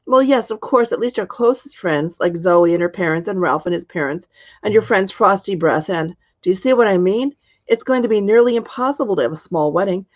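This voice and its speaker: A woman's voice: medium pitch, animated, a little fast, darkish tone, lightly acted. Storybook princess, young and earnest, voiced by a woman reader, slightly dull lo-fi sound. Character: young princess planning a small wedding, earnest.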